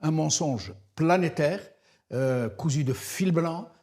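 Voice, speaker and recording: A man's voice: on the high side, takes it slowly, a little mellow. A man interviewing on a political programme, searching for his words and building long, rhetorical questions with repetitions. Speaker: interviewer, TV host.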